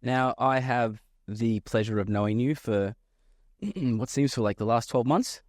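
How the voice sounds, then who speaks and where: A man's voice: mid-range voice, darkish tone, no room sound, clean studio sound. A man hosting a podcast interview, asking friendly questions that he often restarts partway through. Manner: friendly, halting, repeats words while asking questions. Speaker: podcast host.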